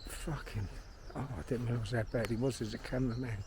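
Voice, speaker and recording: A man's voice: medium pitch, fairly even intonation, fast talker. A middle-aged man with a London accent, chatting bluntly and swearing as he rambles to himself on a walk in a park. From London, England. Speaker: vlogger, filmmaker. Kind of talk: rambling casual monologue while walking. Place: outdoors in a park. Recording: outdoor background noise. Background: birdsong and outdoor ambience.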